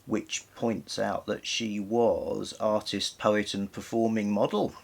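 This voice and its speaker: A man co-hosting a casual literary chat, talking in a hesitant, halting way. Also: lowish voice, flat delivery, creaky. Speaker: co-host of a book chat.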